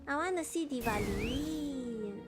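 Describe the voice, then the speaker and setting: A woman's voice: high-pitched, lively intonation. A woman with a Malaysian accent chatting casually and gigglily as she livestreams a game. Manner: giggly, clipped unhurried phrases. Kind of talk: casual chat while playing. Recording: game music behind voice.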